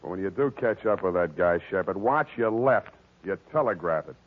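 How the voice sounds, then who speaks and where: Sardonic private eye, deep voice, slangy, weary, radio drama, tinny vintage recording. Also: male voice, expressive, moderate pace. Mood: wry, impatient. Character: weary wisecracking hardboiled male private detective. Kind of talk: old-time radio detective drama acting. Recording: noisy, tinny old-time radio recording.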